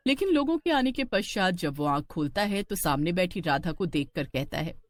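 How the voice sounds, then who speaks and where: A woman narrating a scripted horror cartoon story in an expressive storytelling style. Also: on the low side, moderate pace, no room sound.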